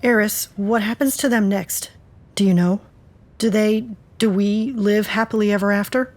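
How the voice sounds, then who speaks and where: Audio drama lead, female actor: middle-aged woman adventurer, sardonic, stubborn, hurt underneath; medium pitch, music bed. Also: steady tone, normal speed, close to an everyday voice. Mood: searching. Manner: sardonic, wisecracking.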